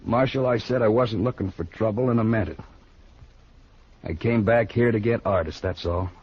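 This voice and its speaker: Returning gunslinging cowboy: husky, low, defiant and quietly dangerous, vintage radio drama. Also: male voice, normal speed. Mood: quietly threatening. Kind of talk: 1950s radio western acting. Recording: muffled old radio recording.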